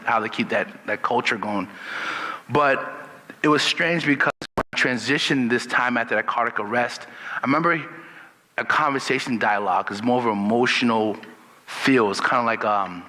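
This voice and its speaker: A man from Liberia telling a personal story in a relaxed, unhurried storytelling manner. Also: medium pitch, big-room sound, low-quality recording. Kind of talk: personal testimony.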